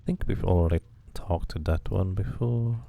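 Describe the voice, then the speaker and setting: A man's voice: deep voice, moderate pace, gravelly, phone-quality sound. A man doing a casual game playthrough, musing to himself and to viewers and reading narration aloud.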